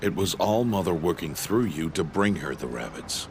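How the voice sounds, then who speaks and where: An actor playing a stern middle-aged male outcast mentor in a video game, speaking in a deep, grave, measured and terse way. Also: animated, vocal fry, background noise. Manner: solemn and terse. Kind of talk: video game cutscene acting.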